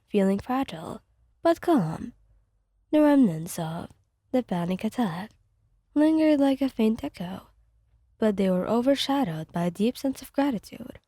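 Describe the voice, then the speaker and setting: A woman reading scripted fan fiction as soft ASMR narration, with a gentle, soothing delivery. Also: medium pitch, no room sound, clean studio sound.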